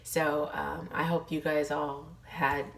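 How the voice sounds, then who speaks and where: A middle-aged woman vlogging in a casual, encouraging way while losing her voice, hoarse and full of fillers. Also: deep voice, flat delivery, slow, recorded from a distance, slight background noise. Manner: chatty, many fillers, earnest encouragement. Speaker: lifestyle vlogger. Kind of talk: casual motivational talk to camera.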